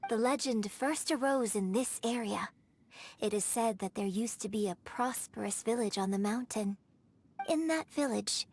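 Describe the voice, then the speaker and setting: A woman playing a poised, eloquent young opera performer in a video game, telling things in a measured, theatrical way. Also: mid-range voice, gravelly, a little mellow, breathy edge. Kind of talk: video game character acting. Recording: background music.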